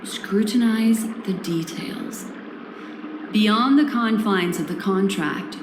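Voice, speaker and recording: Game role, female actor: enigmatic woman memory-keeper, deliberate and composed, medium register, echoey sound with noisy backdrop. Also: medium pitch, natural voice. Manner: formal, deliberate, poised phrasing. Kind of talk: scripted video game character dialogue. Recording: roomy echo, loud background ambience.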